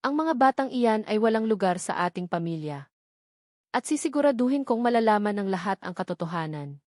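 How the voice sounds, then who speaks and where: A woman's voice: medium pitch, lively intonation, brisk, a little mellow, dry recording, studio quality, natural voice. A woman voicing a haughty, angry rich mother in a story, stern and cutting. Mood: angry, threatening. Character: haughty, angry wealthy matriarch, scornful mother.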